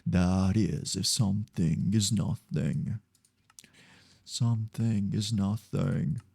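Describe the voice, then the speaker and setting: A man's voice: lowish voice, fairly even intonation, slow pace, creaky, mellow, husky, no room sound. A man chatting casually on a game livestream, thinking aloud in a rambling, repetitive way. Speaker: game streamer. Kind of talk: casual livestream chat while gaming.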